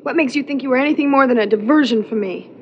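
A sharp, distrustful young heiress from a 1980s TV soap, played by a woman, haughty and fairly high in voice. The clip is dull, with faint noise around her. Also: quick pace, lightly acted. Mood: accusing, scornful. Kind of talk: 1980s television soap drama acting.

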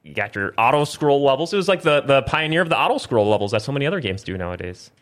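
A man's voice: mid-range voice, sing-song, fast talker, fairly bright tone. A man hosting a gaming show, chatting casually and encouraging the others as they play. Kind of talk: casual chatty banter while playing video games.

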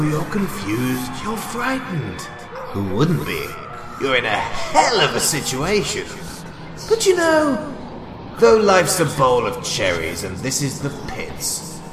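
A man's voice: on the high side, wildly swooping pitch, takes it slowly, roomy sound, exaggerated voice. A man playing a gleeful mad clown villain in an audio drama, theatrical, mocking and sing-song. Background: loud music.

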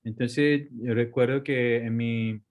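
Hombre con acento coreano, hablar vacilante. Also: male voice, mid-range voice, fairly even intonation, slow pace, darkish tone. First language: Korean. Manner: hesitant, restarts words, mixes in English. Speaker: guest surgeon. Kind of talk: casual interview.

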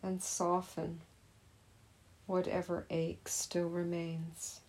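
Very slow, soothing woman guiding meditation. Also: lowish voice, completely flat delivery. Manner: gentle, soothing, unhurried. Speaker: meditation teacher. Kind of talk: guided meditation.

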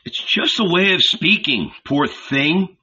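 A man voicing an excited, persuasive male shepherd disciple who urgently pleads with a friend. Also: on the high side, takes it slowly, husky, voice pushed up, full character voice. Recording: dull lo-fi recording.

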